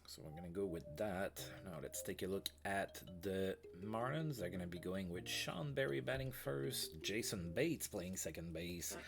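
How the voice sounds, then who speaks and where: A man's voice: low-pitched, animated, normal speed, creaky, few pauses, music in the background. Stuffy-nosed man calling a baseball sim. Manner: chatty, fillers, play-by-play calls. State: stuffy from allergies. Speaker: let's play host. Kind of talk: casual baseball sim commentary. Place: home streaming setup.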